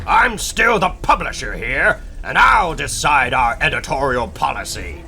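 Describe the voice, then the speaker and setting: A man's voice: mid-range voice, very animated, unhurried, gravelly, pushed and bright, faint music behind, exaggerated voice. A man playing a blustering, greedy newspaper boss, bossy and emphatic, in a dramatic comic book reading. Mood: indignant bluster.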